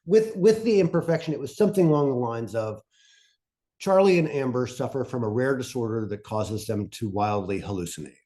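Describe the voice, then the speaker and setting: A man presenting in an informal workshop, talking in a conversational, somewhat halting way. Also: mid-range voice, animated, warm tone.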